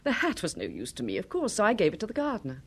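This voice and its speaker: A woman playing an anxious, insistent wife in a radio drama, speaking earnestly and with deliberate emphasis. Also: medium pitch, wildly swooping pitch, slightly rough, heavily acted. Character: anxious, insistent wife convinced her husband lives.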